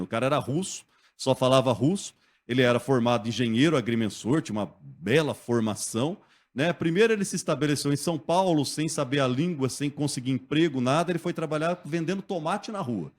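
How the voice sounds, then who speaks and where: A man telling local history as a guest in a radio studio, lively and conversational, often checking in with the listener. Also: fairly high pitch. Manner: lively storyteller, acts out quotes, often asks "né?".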